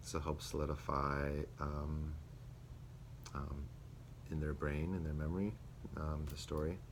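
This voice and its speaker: A young man, a student teacher, reflecting aloud on a lesson he taught, with many pauses and fillers. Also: extremely low pitch, flat delivery. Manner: hesitant, many ums and restarts. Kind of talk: spoken self-reflection. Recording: faint background noise.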